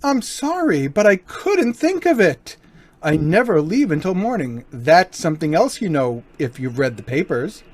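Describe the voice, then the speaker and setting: A man playing a suave, menacing gentleman burglar in an old-time radio crime drama, mock-courteous and taunting. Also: a little high, very animated, a little slow, no echo. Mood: teasing, unhurried.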